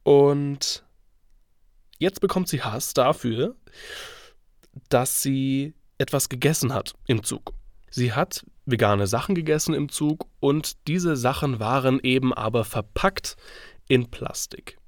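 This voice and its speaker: A man's voice: medium pitch, slow pace, dry recording, studio quality. A man with a cold and a congested, stuffy voice hosts his own podcast, talking casually and thinking aloud with fillers. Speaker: podcast host. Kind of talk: solo podcast commentary.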